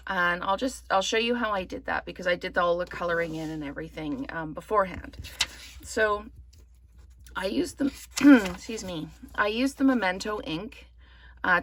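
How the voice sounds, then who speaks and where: A woman from Canada giving a relaxed craft tutorial, her voice slightly croaky from smoke, rambling with many ums. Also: lowish voice. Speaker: card-making demonstrator. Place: indoors at a craft table.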